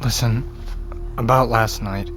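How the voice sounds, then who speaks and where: A man's voice: medium pitch, steady tone, slow, a bit bright, faint music behind. Anxious, stubborn teenage boy. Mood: low, earnest. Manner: urgent questioning, swearing. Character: injured seventeen-year-old boy, anxious, stubborn, loyal. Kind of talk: naturalistic audio drama acting.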